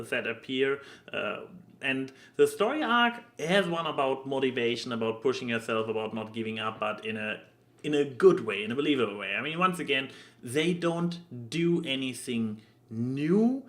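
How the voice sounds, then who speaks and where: A man talks casually on a podcast in a middle-pitched voice. He takes his time, stammering and halting as he looks for the next word. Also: fairly bright tone. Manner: halting, stammering, searching for words. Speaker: podcast co-host. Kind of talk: casual podcast chat about anime.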